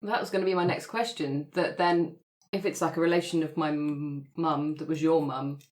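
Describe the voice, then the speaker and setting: A woman's voice: deep voice, steady tone, moderate pace, darkish tone, poor audio. A woman chatting casually as a player in a tabletop roleplaying show. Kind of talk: casual chat.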